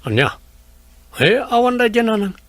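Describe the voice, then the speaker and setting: Lively, sweet-talking Ilocano man acting a cheating husband. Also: extremely high pitch, normal speed, dry recording, heavily acted. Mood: defensive. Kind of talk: Ilocano radio drama acting.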